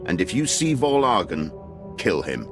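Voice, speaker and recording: Deep, pompous Imperial colonel. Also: male voice, very animated, normal speed, music in the background. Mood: grave, confident. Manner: formal, pompous, deferential military briefing. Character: stern male Imperial colonel, patriotic military commander. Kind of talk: scripted game cutscene acting.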